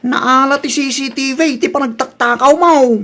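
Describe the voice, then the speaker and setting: Casting: woman as worried, loyal comedy husband; notably high pitch, earnest protesting delivery, radio drama. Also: normal speed, a bit bright.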